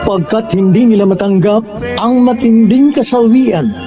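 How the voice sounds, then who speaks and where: A man's voice: sits high, a little slow, mellow, soft background music. A man with a Filipino accent hosting a radio drama with dramatic scripted narration. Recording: dull narrow-band radio sound.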